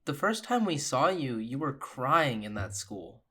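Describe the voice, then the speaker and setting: A man's voice: medium pitch, very animated, a little slow, a bit bright, breathy edge, full character voice. A man voicing a bold young girl in a tabletop game, earnest and direct, persuading a friend. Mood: earnest, coaxing.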